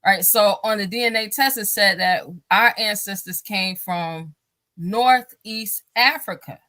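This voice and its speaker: A woman's voice: mid-range voice, unhurried, pushed and bright, no room sound. A married woman, a guest on a talk show, speaking casually in a rambling way with many fillers and restarts, and often laughing as she talks. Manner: laughs often while talking.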